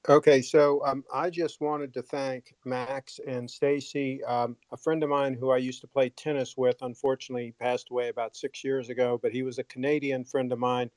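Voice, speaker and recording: A man calls in to a live audio chat and speaks earnestly and gratefully. His voice is smooth and resonant with a flat, even delivery. The sound is dry. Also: mid-range voice, moderate pace, few pauses, dry recording. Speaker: audience caller.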